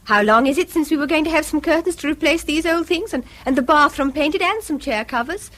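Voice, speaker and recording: An actress playing a weary, exasperated middle-class housewife in mid-century British radio drama, with a brisk, clipped Received Pronunciation accent. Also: female voice, high-pitched, a bit bright. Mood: fed up, complaining. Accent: English Received Pronunciation.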